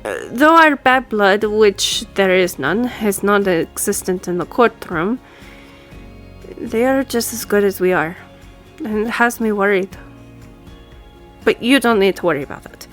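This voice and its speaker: A woman's voice: medium pitch, animated, measured pace, long pauses, a bit bright, soft background music. A woman playing a friendly, businesslike law firm boss in an audio roleplay, speaking conversationally to the listener. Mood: concerned, businesslike. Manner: businesslike, conversational, lightly teasing. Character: brisk, friendly female law firm boss giving instructions.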